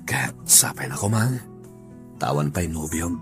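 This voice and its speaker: An earnest young man in love, with a very low voice and an Ilocano accent. He speaks tenderly and pleadingly, in radio drama style, over clear background music. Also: animated, a little slow, heavily acted.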